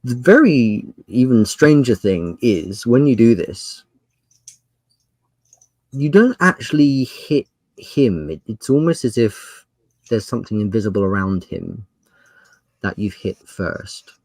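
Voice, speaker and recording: A man narrating a tabletop game as game master, using dramatic pauses and emphasis as he describes scenes. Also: lowish voice, very animated, a little mellow, no room sound.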